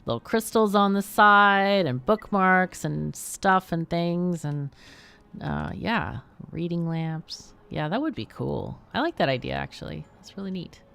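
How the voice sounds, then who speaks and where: A woman's voice: lowish voice, expressive, unhurried, vocal fry, a bit bright, smooth. Woman streamer chatting casually while gaming, playful, voice goes up and down a lot. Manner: chatty, playful, laughs often. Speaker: livestream host and gamer. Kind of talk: casual livestream chat with game commentary and questions to guests.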